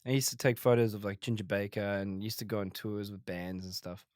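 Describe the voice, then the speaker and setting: A man in his forties with a faint South African accent under an Australian one, telling stories in a relaxed interview, full of restarts and fillers. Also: lowish voice, a little fast, dry recording, clean studio sound. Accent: faint South African, mostly Australian. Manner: rambling, many restarts and fillers. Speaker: guest comedian. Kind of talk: casual interview chat.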